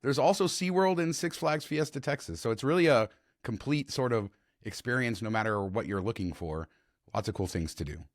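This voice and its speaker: A man hosting a travel podcast, chatty and enthusiastic, his voice slightly hoarse, on a close podcast mic. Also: mid-range voice, brisk, no room sound. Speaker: podcast host. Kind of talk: casual travel podcast chat.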